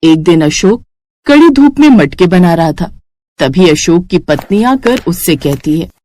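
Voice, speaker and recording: A woman's voice: fairly low pitch, expressive, unhurried, dark tone, slight background noise. A woman storyteller reading a scripted moral story for children.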